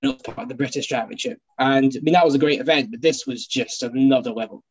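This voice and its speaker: A man's voice: medium pitch, fast, muffled recording, a little hiss or hum. A Scottish man guesting on a podcast, enthusiastic and self-deprecating. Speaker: guest co-host, rally journalist. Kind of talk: casual podcast chat.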